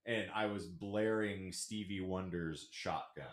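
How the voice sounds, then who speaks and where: A man's voice: low-pitched, fairly even intonation, a little slow. A man hosting a casual podcast in a wordy, theatrical, playful manner. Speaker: podcast host. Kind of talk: casual podcast banter with florid sign-offs. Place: small home room with couch, indoors. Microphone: podcast mic on a stand. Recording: roomy, echoey living-room sound.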